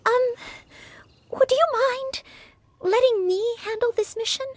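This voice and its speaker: An actress playing a humble, earnest teenage maid knight-in-training in an anime-style game, polite, hesitant and eager to serve. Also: female voice, extremely high pitch, slow, no room sound. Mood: shy, hopeful.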